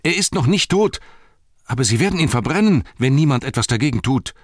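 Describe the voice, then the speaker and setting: A man voicing a frightened, earnest young halfling in a fantasy audiobook, pleading in a breathless, hurried way. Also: mid-range voice, sing-song, dry recording, studio quality, voice pushed up. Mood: desperate. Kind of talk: fantasy audiobook character reading.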